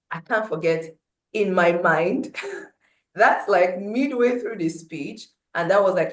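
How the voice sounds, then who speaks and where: A woman with a loud voice, telling a personal story at a meeting with animated swings in pitch and loudness. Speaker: motivational speaker. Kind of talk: storytelling talk at a breakfast meeting. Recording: roomy echo.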